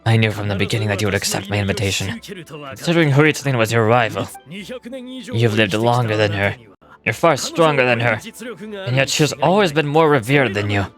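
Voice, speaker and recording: Male merchant villain for a video game, performed by a man: pompous, scheming, theatrical persuader, mid pitch, clear music underneath. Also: very animated, moderate pace, lightly acted. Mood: smug, scheming.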